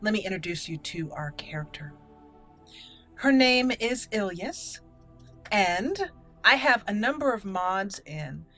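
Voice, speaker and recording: Female gaming host, chatty and informal, explains as she goes, soft music underneath. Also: medium pitch, expressive, slow pace, pauses often. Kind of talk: casual game commentary.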